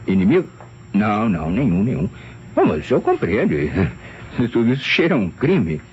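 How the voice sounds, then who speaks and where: A man's voice: on the low side, normal speed. An actor playing a flustered, deferential private secretary, hesitant and stammering as he testifies in an old radio mystery. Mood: nervous. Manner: hesitant, stammering, tag questions, animated storytelling. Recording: dull, noisy old radio drama recording.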